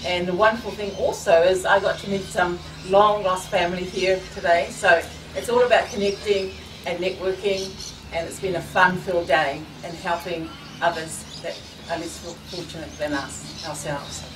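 A middle-aged woman speaks cheerfully, with a touch of hesitation, at an outdoor park event. Her voice is a little low. The camera catches her from a distance, and outdoor noise is clearly heard behind her. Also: fairly even intonation, moderate pace. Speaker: staff member. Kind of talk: short speech to a gathering. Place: open-sided park pavilion outdoors. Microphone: far camera, no mic.

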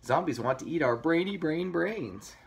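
A man's voice: on the high side, lively intonation, normal speed, vocal fry, voice pushed up. A man voicing a frightened little storybook pig, urgent and pleading with his brother. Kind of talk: children's storybook character voice.

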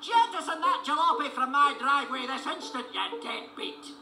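An actor playing a proud, crotchety old rich cartoon duck. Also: male voice, very high voice, sing-song, moderate pace, gravelly, roomy sound, music in the background, exaggerated voice. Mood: outraged. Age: older. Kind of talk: animated cartoon voice acting in children's audiobook.

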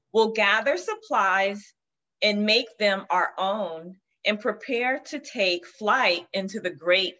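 A woman in her forties reading a picture book aloud to children as a guest storyteller on a video call. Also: medium pitch. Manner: slow, gentle read-aloud. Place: room with shelves of children's books. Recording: dull lo-fi video call audio.

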